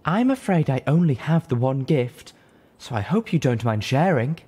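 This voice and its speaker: Man acting as regal unicorn mare, raised pitch, honeyed, lofty, formally polite, theatrical storybook diplomat. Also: very animated, moderate pace, dark tone, slightly rough. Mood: sweetly placating.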